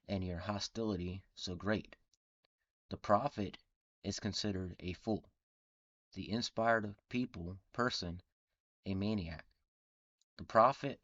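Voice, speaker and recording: Deep-voiced, congested man reading scripture slowly. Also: dry recording, muffled recording. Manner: halting reading with frequent restarts and throat clearing. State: congested from a cold. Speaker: Bible reading channel host. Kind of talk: reading scripture aloud and explaining it.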